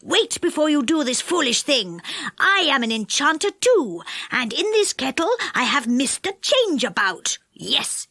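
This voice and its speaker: A woman's voice: high-pitched, fairly bright tone, no room sound, exaggerated voice. A woman voicing a male magical storybook character, earnest and emphatic, a plucky little bluffer. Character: bold, anxious male magical friend bluffing as enchanter.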